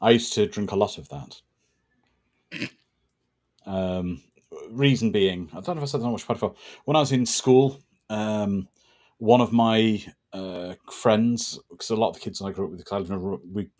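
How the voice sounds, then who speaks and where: A man's voice: lowish voice, moderate pace, a little mellow. A man co-hosting a casual film watch-party commentary, telling stories with wry asides. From moved to Wales as a child. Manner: chatty, storytelling, wry asides.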